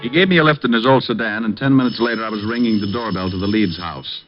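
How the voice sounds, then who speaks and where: A man's voice: on the low side, fast, music in the background, natural voice. An actor playing a dry, sardonic private detective who narrates his own case in a 1950s radio drama. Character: wisecracking, unflappable male private eye. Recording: muffled old radio broadcast.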